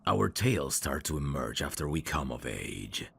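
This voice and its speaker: Guy doing a super deep slow-talking demon, smooth flirty asmr roleplay, pitch swoops around, some background noise. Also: big pitch swings, a bit bright, full character voice. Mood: intrigued, teasing. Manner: smooth-talking, flirtatious, formal phrasing with hesitations. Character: suave, teasing adult male demon, courteous and curious. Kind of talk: intimate ASMR roleplay acting addressed to the listener.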